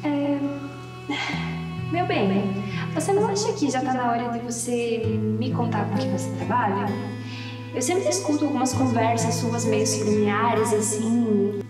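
A woman's voice: a little high, unhurried, loud music behind the voice, lightly acted. A woman playing an anxious young wife. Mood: worried. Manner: curious, worried questioning. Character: young wife, naive and anxious about her husband. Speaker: actress in a low-budget drama. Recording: echoey room, distant mic.

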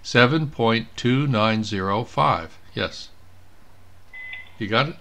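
A man giving an informal step-by-step software demonstration, thinking aloud as he clicks through each step. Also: medium pitch, takes it slowly. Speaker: presenter of a software tutorial. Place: indoors at a computer.

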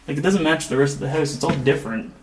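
A young man casually narrating his walk through an abandoned house, chatty and relaxed. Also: on the high side, background noise. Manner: relaxed, chatty, frequent "like" and laughs. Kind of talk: casual walkthrough vlog. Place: inside an empty abandoned house.

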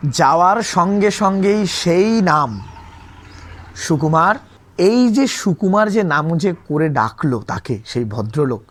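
A man's voice: fairly high pitch, expressive, slow pace. A man teaching a lesson, retelling a story in an explanatory way, repeating and rephrasing points and posing rhetorical questions.

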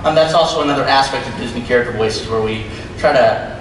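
A man's voice: mid-range voice, fast, a little hiss or hum. A man moderating a stage panel, asking the guests questions in an easy, friendly way. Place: large hall on stage. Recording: echoey hall with long reverb, from far off.

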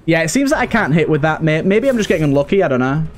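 A man's voice: on the high side, very animated, very fast. A man giving casual live video game commentary, thinking aloud and chatting to the characters as he plays. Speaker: host of a video game let's play. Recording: background game music. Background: game music.

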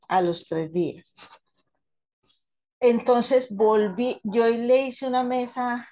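A woman talks through a hands-on demonstration. Her pitch is medium, and her delivery is halting and explanatory, with phrases repeated and reworded. It sounds like an informal spoken tutorial from someone seated at a table. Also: darkish tone. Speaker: radionics therapist demonstrating a quantum table.

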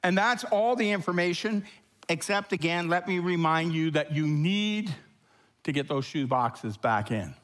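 A man's voice: on the high side, expressive, unhurried, a bit bright. A man in his sixties with a Canadian accent, a pastor giving chatty church announcements from a sanctuary stage, full of fillers. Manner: rambling, chatty, many fillers.

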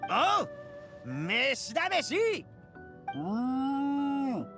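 Brash, wildly animated young oni. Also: male voice, very high-pitched, exaggerated voice. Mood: boisterous. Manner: rough, exaggerated, drawn-out exclamations. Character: brash young male oni, boisterous and good-natured. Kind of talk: anime game voice acting. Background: music.

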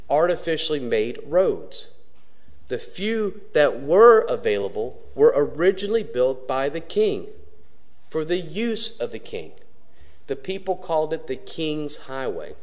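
Man, middle-aged, pastor, sermon, animated pitch, pulpit mic, church sanctuary. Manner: storytelling preacher, pauses often and varies pitch widely. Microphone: pulpit microphone. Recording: long church reverb.

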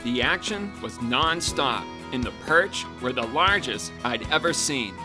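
Fishing show host narrating over guitar. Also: male voice, mid-range voice, a little slow. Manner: calm, steady narration, excited exclamations on the boat. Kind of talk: scripted narration and casual chat on a boat. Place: small boat on a freshwater pond, outdoors. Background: guitar music.

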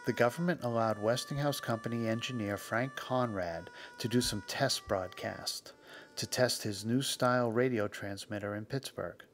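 A man reading scripted documentary narration in a conversational storytelling manner with wry asides, as the host. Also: lowish voice.

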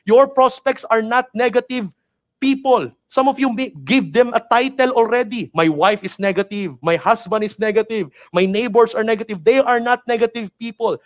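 A Filipino man in his thirties with a Filipino accent, a native Tagalog speaker, giving a motivational training talk in an emphatic, repetitive, urging manner. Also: high-pitched. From Philippines. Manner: emphatic, repetitive, preacher-like urging with rhetorical questions. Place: indoor studio with virtual backdrop. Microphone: headset mic.

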